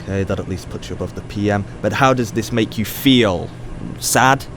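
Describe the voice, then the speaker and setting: An actor playing a probing, inquisitive ethics professor in an audio drama, talking in a conversational, searching way. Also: male voice, lowish voice, moderate pace, a bit bright, slight background noise. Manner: conversational, probing, hesitant restarts.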